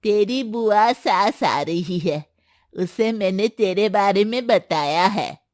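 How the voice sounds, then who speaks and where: Woman as a slow, bossy mother-in-law. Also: medium pitch, lively intonation, a little mellow, dry recording, studio quality. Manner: commanding, complaining. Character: demanding, bossy middle-aged Indian mother-in-law. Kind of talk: animated Hindi story voice acting.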